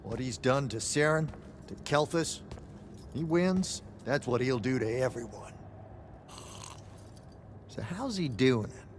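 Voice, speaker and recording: An actor playing a blunt, shrewd older recluse in a video game, grumbling in a folksy way. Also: male voice, medium pitch, lively intonation, takes it slowly, long pauses, a little hiss or hum. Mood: grave, knowing.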